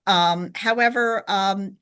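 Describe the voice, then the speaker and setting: A woman's voice: medium pitch, slow, fairly bright tone, smooth. An American woman hosting a podcast, asking questions in a rambling way with many fillers and self-corrections. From United States. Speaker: podcast host. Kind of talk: interview questions.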